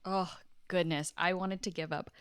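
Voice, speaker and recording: A woman's voice: on the low side, steady tone, normal speed, fairly bright tone, clear, resonant tone, dry recording, clean studio sound. A woman speaking as an interview guest, chatty and earnest, telling reflective stories in a conversational way. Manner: earnest, chatty, laughs easily. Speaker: podcast guest, writer and documentary producer.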